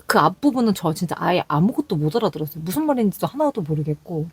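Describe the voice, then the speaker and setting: Chatty woman with a breathy voice. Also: lowish voice, big pitch swings, fast. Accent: Seoul Korean. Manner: chatty, candid storytelling with quoted callers. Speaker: call center agent sharing work stories. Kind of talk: casual talking vlog.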